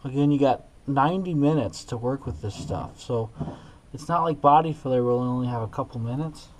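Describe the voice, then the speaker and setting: A man's voice: mid-range voice, fairly quick, poor audio. A man giving casual, plain-spoken how-to commentary as he works on auto body repair. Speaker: DIY auto body repair video maker. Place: indoors in a garage, working inside a car.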